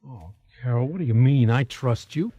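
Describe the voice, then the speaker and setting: A stubborn, defensive husband, medium-pitched. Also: male voice, animated, moderate pace, warm tone, husky, dry recording, highs cut off. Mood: weary, pleading. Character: defensive, proud, overworked unfaithful husband. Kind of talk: 1980s radio drama acting.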